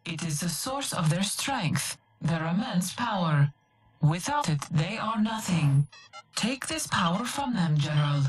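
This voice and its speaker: A woman's voice: on the low side, very animated, measured pace, music in the background. A woman playing a commanding, ruthless military strategist in a video game briefing, emphatic and imperious. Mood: fierce resolve.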